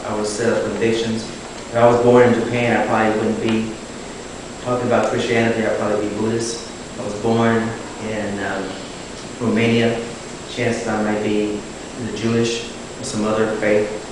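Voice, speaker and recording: A man's voice: fairly low pitch, noisy background. Man answering questions in an echoey hall. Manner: thoughtful, measured, restarts phrases. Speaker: guest speaker. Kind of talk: public talk answering questions. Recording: echoey hall taped from far off.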